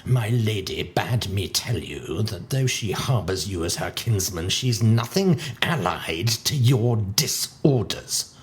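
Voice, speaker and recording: An actor playing a stern, priggish household steward, scolding pompously in clipped phrases in a Shakespearean audio play. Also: male voice, fairly low pitch, animated, slow, hardly any pauses. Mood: cold disdain. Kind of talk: theatrical Shakespearean audio drama acting.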